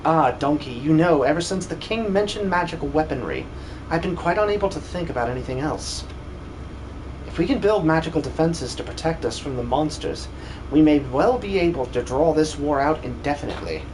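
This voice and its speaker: A man's voice: fairly high pitch, animated, fairly quick, a little mellow, slight background noise, natural voice, rough recording. A man voicing a courteous, formal scholar keen on magical research in a video game fan dub. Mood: earnest, intrigued.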